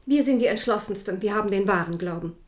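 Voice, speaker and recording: A woman's voice: medium pitch, quick pace, natural voice. An actress in a German radio play playing a proud, devout Protestant queen and protective mother, fervent and stern. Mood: bitter, insistent.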